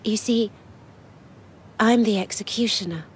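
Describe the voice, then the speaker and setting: A woman's voice: medium pitch, unhurried, a little hiss or hum, natural voice. An actress playing an unhinged woman, fervent and intense, confiding in a radio drama. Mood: eerie, fervent. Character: unhinged woman, fervently welcoming her executioner role.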